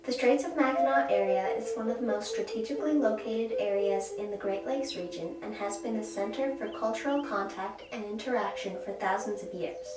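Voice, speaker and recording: A woman reading a formal statement in steady, scripted narration. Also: mid-range voice, a little fast, hardly any pauses, reverberant, far from the mic, rough recording.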